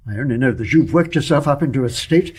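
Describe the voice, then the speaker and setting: Husky, stern, reproving gentleman. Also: medium pitch, lively intonation, fast. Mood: indignant. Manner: formal, forceful reproof. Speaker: actor in a radio play. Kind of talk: radio drama acting.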